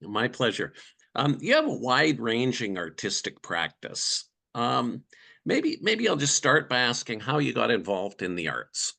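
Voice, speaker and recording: A man's voice: mid-range voice, sing-song, a little slow, creaky, sharp tone. A man hosting an interview, reading an introduction and then asking friendly questions with a few ums. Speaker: interviewer, editor.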